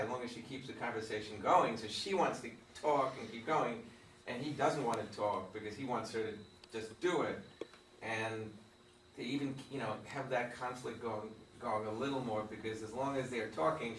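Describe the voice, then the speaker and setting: A man's voice: medium pitch, fairly bright tone, a little hiss or hum. A man in a group discussion after a play reading, explaining his view of the scene from an actor's perspective. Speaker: audience member giving feedback. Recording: echoey room, from far off.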